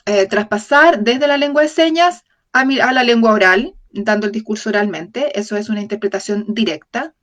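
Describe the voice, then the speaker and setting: A woman voicing a lecture for an interpreter, speaking in a measured way, often correcting herself mid-phrase and checking in with the audience. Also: medium pitch, a bit bright. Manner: measured, with frequent self-corrections and tag questions to the audience. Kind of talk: voiced-over lecture in an open talk series.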